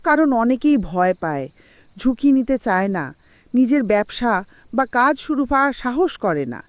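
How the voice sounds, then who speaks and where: A woman's voice: a little high, big pitch swings, measured pace. A woman playing a kindly, wise old village grandmother in a cartoon, explaining patiently over background noise. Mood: patient, instructive.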